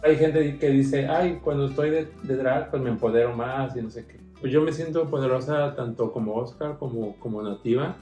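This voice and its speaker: A man's voice: medium pitch, steady tone, moderate pace, few pauses, mellow, dull audio. Man in an echoey room. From Mexico. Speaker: drag queen street performer. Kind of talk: personal interview talk. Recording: echoey room with music behind.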